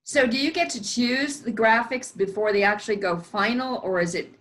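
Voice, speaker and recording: A woman's voice: on the high side, animated, normal speed, a bit bright. Friendly woman interviewer. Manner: friendly, encouraging, rambling questions. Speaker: interviewer, host. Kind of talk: casual interview.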